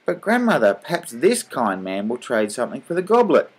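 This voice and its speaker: A man voicing a sweet little girl who pleads in a high, eager voice. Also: flat delivery, a little fast, natural voice. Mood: pleading.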